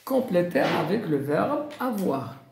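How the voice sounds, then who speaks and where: A man teaching a beginner language lesson, speaking slowly and deliberately, spelling out and repeating each example. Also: high voice, big pitch swings, vocal fry, echoey room, slight background noise. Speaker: French teacher.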